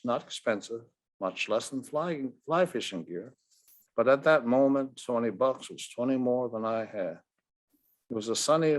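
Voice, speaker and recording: A man, an author, reads his short story expressively, with deliberate, dramatic phrasing and strong emphasis. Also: mid-range voice. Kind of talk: expressive literary reading.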